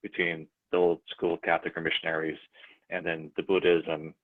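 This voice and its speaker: Deep-voiced man over a tinny call line. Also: flat delivery, normal speed. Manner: thoughtful, rambling, with restarts. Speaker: church member guest. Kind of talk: casual chat. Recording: tinny phone-like call audio.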